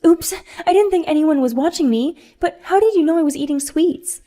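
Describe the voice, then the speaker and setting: A woman voicing a spoiled, bratty grown woman who talks in a loud, whiny, indignant way. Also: high voice, brisk, voice pushed up, natural voice. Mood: gloating.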